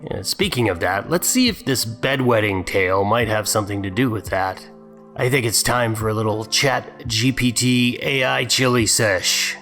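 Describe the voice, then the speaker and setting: A man's voice: on the low side, normal speed, a bit bright, faint music behind. A man hosting a dramatic reading, adding sarcastic, mocking commentary of his own. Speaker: host.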